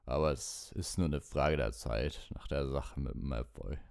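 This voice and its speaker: A man's voice: very deep voice, a little slow, creaky, a little mellow, dry recording, clean studio sound, exaggerated voice. A man voicing a huge, drunk, dejected groundskeeper in a storybook, with a thick, slurred voice. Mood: gloomy. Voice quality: thick, muffled voice.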